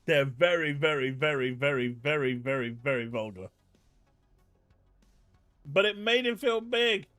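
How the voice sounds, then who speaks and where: A man's voice: medium pitch, expressive, a little slow, long pauses, a bit bright, dry recording, faint music behind. A man with an English accent hosting a casual live stream from his desk at home, reading chat and ranting in disbelief. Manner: exasperated, ranting, incredulous.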